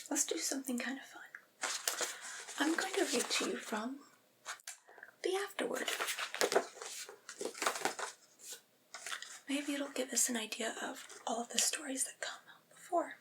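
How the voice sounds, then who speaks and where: Vampire's familiar, played by a woman: high, breathy whisper, patient and coaxing, macabre tastes, soothing ASMR roleplay. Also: natural voice, poor audio. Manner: coaxing, soothing, chatty, gently teasing.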